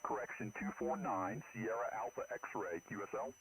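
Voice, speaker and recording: A man's voice: lowish voice, normal speed, fairly bright tone. A man making clipped contest exchanges as an amateur operator, heard over shortwave radio. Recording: faint, noisy ham radio signal.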